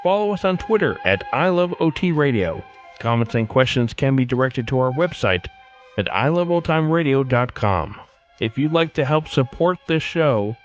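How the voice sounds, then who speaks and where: Man, medium-pitched voice, podcast host, scripted commentary, faint music underneath. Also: dull audio.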